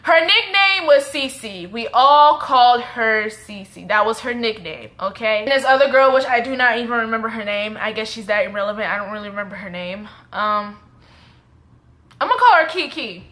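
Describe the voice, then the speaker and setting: A woman's voice: a little high, lively intonation, normal speed, a bit bright. A woman in her twenties from the Dallas suburbs with a suburban Texas accent, chatting in a rambling, self-correcting way as she tells a story to camera. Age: 20s. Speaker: vlogger.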